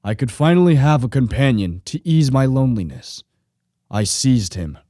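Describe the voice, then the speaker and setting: Man playing a mellow, lonely, vengeful monster. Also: medium pitch, very animated, moderate pace, no room sound, studio quality, natural voice. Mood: brooding, bitter. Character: lonely, vengeful male monster created by a scientist. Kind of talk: radio play narration and dialogue.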